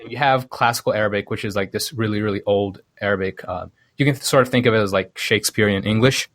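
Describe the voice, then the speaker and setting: A man from Sudan explaining things casually as an interview guest, haltingly thinking aloud and correcting himself as he goes. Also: fairly low pitch, fairly bright tone, no echo. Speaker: podcast guest, programmer.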